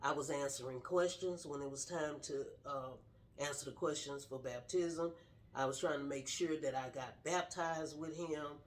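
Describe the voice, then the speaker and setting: A woman's voice: low voice, fairly even intonation, moderate pace. A middle-aged woman sharing her personal story casually in an earnest, straightforward way. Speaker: guest telling personal story, wife of the host.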